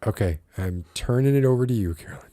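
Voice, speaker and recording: A man's voice: lowish voice, lively intonation, fast, warm tone, dry recording. A man hosting in a casual, hesitant, informal chatty style. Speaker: host.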